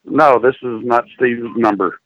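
An older man on a phone call, answering briefly with terse, flat politeness. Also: mid-range voice, measured pace, no echo. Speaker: recipient of a prank call. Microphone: telephone. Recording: dull, noisy phone call.

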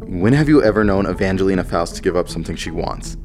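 A man's voice: low voice, lively intonation, fast talker, over music. A man playing a wry, loyal runaway nobleman turned spaceship crewman, talking casually and teasingly in a science fiction audio drama. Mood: worried, teasing.

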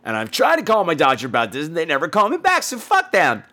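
Male American podcast host, high voice with big melodic swings, campy comic raconteur, salty language. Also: huge pitch swings, fast talker, bright, forceful tone. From United States. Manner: theatrical, campy, exaggerated delivery; profane, blunt. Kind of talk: comedic personal storytelling monologue.

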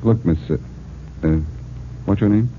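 Actor as low-voiced, wisecracking amateur sleuth. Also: male voice, moderate pace, natural voice. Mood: cool, teasing. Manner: breezy, sardonic, flirtatious. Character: cocky, wisecracking amateur detective. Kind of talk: 1940s radio acting. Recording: tinny, hissy old radio recording.

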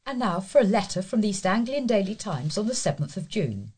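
Husky woman reading letters with lively intonation. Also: medium pitch, brisk. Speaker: volunteer news reader for a talking newspaper. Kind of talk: reading newspaper letters aloud.

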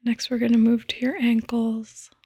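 A woman gently guiding a sleep meditation in a soft, breathy, soothing voice that often nears a whisper, close to the microphone. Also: on the high side, little pitch movement, normal speed, dry recording. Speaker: podcast host and meditation guide. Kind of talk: guided meditation, sleep podcast.

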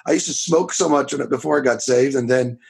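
Raspy loud-talking preacher man. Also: medium pitch. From Florida. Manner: talks loud and fast, rambling with restarts. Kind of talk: casual testimony in a podcast interview.

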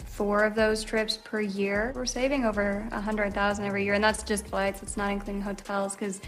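A woman speaking as a podcast guest, talking in a loose, chatty way. She tells stories with a self-deprecating edge, and her pitch sits in an ordinary middle range. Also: little pitch movement, moderate pace, faint music behind. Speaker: podcast guest, travel expert. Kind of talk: casual interview chat.

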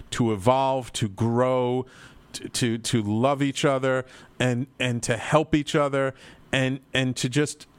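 A man's voice: lowish voice, no room sound. Earnest rambling man hosting a talk show. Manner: rambling, earnest, repeats words. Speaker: host. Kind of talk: casual talk show chat, livestream.

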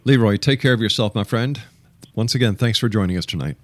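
A man hosting a radio interview, speaking politely in deliberate phrases. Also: fairly low pitch. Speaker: radio show host and interviewer.

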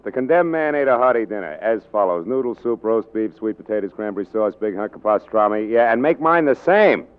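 An actor playing a cynical, flippant newspaper reporter in a 1940s radio drama, delivering dry sarcasm. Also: male voice, lowish voice, expressive, a little fast, hardly any pauses, clean tone, close to an everyday voice. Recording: thin, narrow-band 1940s radio recording.

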